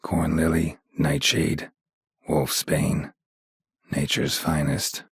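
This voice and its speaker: A gruff, world-weary monster hunter for a video game. His voice is very low and heavily rasped, recorded dry and close. He speaks in terse, deadpan phrases with a stoic temper and dry understatement. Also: takes it slowly, dry recording, exaggerated voice. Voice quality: gravelly, husky rasp. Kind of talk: video game character dialogue.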